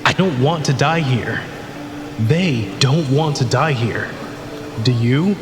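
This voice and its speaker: A man's voice: medium pitch, takes it slowly, lightly acted. A man acting an urgent, bitter, confrontational colleague in a sci-fi audio drama. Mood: urgent, angry. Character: bitter, accusing male colleague in a sci-fi disaster. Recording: long echoing reverb under music.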